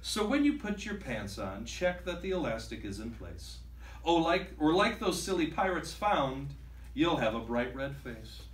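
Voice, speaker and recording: A man in his thirties reads a picture book aloud to young children in an expressive, playful way, with chatty asides. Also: fairly high pitch, a little slow, far from the mic. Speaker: guest reader for a class of young children. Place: school classroom, standing before seated children. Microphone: distant camera microphone. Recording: echoey classroom from afar, faint noise.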